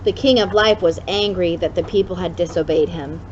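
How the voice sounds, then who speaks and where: A woman reading a children's storybook aloud in an expressive way, with deliberate dramatic emphasis. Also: fairly low pitch, lo-fi sound, slight background noise. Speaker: storybook reader for a kids club.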